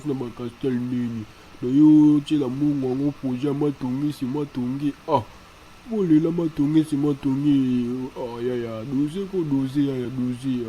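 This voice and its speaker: Man voicing very dark-voiced insistent Congolese man. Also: medium pitch, highs cut off, slight background noise, close to an everyday voice. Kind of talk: amateur cartoon dialogue.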